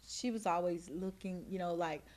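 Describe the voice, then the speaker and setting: A woman's voice: lowish voice, normal speed, darkish tone. A middle-aged female schoolteacher, talking informally and plainly in an interview. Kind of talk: interview reminiscence.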